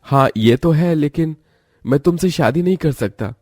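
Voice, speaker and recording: A man's voice: fairly high pitch, expressive, moderate pace, a little mellow, no echo, clean studio sound. Man playing a humble poor young man. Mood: earnest. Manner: earnest, apologetic.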